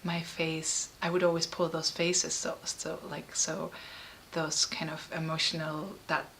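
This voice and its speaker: Bright-voiced woman, halting and emotional. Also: deep voice, little pitch movement, creaky, slight background noise, poor audio. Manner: halting and emotional, frequent fillers and restarts. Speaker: artist and musician. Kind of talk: personal interview.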